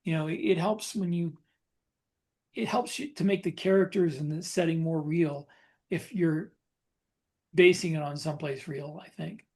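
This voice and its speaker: A man chatting casually as a podcast co-host, thinking aloud in a halting way with repeated phrases. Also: fairly high pitch, a little mellow, husky. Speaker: podcast co-host and science fiction author.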